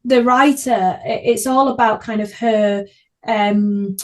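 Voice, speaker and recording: High-voiced woman chatting as podcast host. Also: steady tone, slow pace. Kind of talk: casual podcast conversation.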